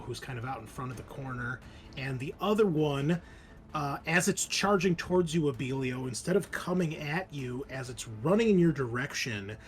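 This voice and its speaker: A man working as game master, narrating a horror roleplaying game, moving between dramatic description and casual rules talk. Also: fairly high pitch.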